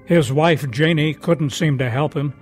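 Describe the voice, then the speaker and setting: A man's voice: on the high side, a little slow. A man reading a scripted promo for a radio drama series as its announcer. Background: music.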